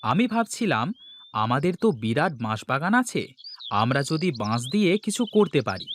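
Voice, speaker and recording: A man's voice: fairly high pitch, big pitch swings, moderate pace, noisy background. A man voicing a scheming, boastful village husband in a cartoon, bossy and coaxing in manner. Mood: bossy, planning. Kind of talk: Bengali cartoon voice acting.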